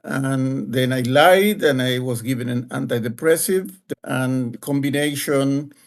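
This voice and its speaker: A man from Argentina with an Argentine Spanish accent, a guest telling his life story slowly and with pauses in an interview. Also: mid-range voice. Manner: slow, measured storytelling with pauses. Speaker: guest, leadership advisor.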